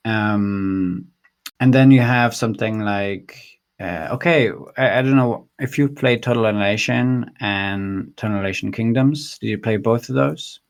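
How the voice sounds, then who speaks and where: A man hosting an interview in a casual, conversational way, haltingly thinking aloud. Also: on the low side. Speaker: podcast host, interviewer.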